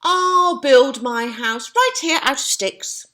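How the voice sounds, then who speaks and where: Woman as a squeaky, excitable little boy pig. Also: takes it slowly, exaggerated voice. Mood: gleeful. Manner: squealing, gleeful, emphatic. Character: carefree, excitable young male pig, storybook.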